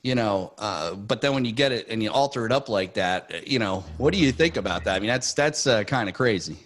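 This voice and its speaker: A man's voice: lowish voice, lively intonation, brisk, a bit bright. Laid-back man hosting a chat. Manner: laughs often, many fillers. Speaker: podcast host. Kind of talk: casual chat.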